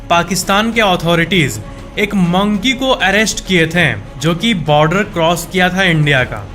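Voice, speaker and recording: A man with a high-pitched voice hosts a casual countdown of facts. He talks conversationally, often repeating and rephrasing a point for emphasis. Music plays clearly behind his voice. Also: pushed and bright. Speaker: facts channel host. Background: background music.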